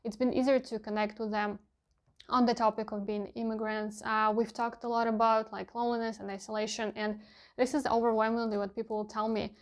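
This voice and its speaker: A young woman whose first language is Russian, talking candidly in a casual vlog and often pausing with fillers as she hunts for words. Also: a little high, flat delivery. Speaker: vlogger.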